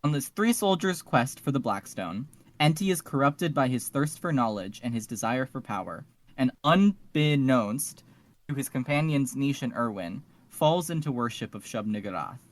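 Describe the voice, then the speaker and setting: A man's voice: medium pitch, animated, normal speed. A man co-hosting a podcast, casually discussing and summarizing fiction. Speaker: podcast co-host.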